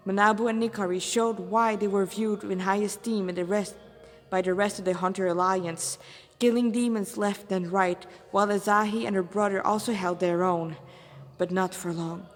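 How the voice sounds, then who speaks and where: A woman's voice: mid-range voice, steady tone, moderate pace, soft background music. A woman reading her own fan fiction aloud as narrator, with dramatic phrasing and the odd stumble. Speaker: fan fiction author and reader. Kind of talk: read-aloud story narration. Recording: reverberant echoey room.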